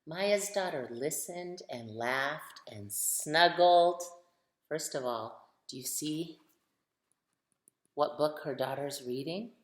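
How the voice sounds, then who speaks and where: A woman's voice: low voice, slow. A woman reading a picture book aloud to children, expressively, putting questions to her young listeners. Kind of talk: storytime read-aloud for children. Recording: roomy, echoing sound.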